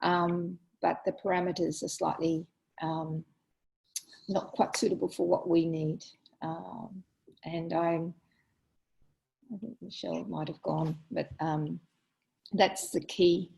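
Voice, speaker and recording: Gravelly woman chairing an online meeting. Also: fairly low pitch, level tone, a little mellow, poor audio. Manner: halting, full of ums and restarts. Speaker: meeting coordinator. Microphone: video call.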